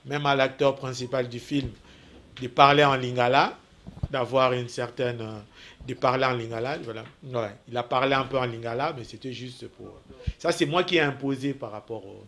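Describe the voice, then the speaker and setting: Male producer from DR Congo, TV interview guest; halting, repeats phrases, drops in Lingala. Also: medium pitch, fairly even intonation, a bit bright, a little hiss or hum. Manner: hesitant, repeats himself, mixes in Lingala. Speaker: film producer. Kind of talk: TV interview, casual answers.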